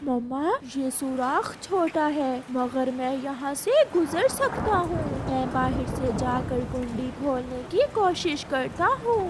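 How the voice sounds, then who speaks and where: Woman as a high-voiced brave little boy bird. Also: animated, slow, few pauses, noisy background. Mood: earnest. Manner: earnest, childlike.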